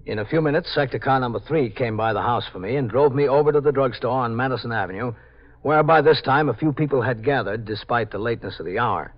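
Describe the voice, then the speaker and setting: An actor as a measured police captain, muffled. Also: male voice, mid-range voice, lightly acted. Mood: matter-of-fact. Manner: measured, matter-of-fact. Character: calm, authoritative New York police captain who narrates. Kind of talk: 1950s radio drama acting and narration. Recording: muffled 1950s radio recording.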